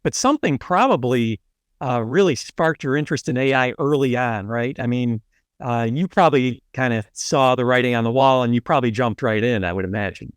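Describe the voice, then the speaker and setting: A man's voice: medium pitch, fairly quick, clean tone, no echo, studio quality. A man hosting a podcast, reading a polished intro and then chatting loosely with a guest, with plenty of fillers and restarts. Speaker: podcast host. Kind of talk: scripted intro then casual interview.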